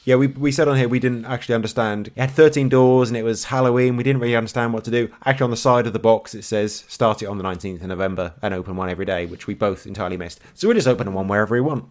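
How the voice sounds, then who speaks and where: A man's voice: medium pitch, very fast, few pauses. Dude on a podcast, dry jokes, teasing his cohost, average voice. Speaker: podcast co-host. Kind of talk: casual chat.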